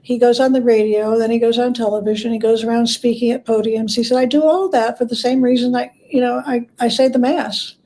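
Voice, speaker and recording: A woman with a warm, dark-toned voice pitched a little high. She talks as a guest expert in a conversational interview, explaining informally and paraphrasing quotes as she tells anecdotes. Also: fairly even intonation, fairly quick, few pauses, rough recording.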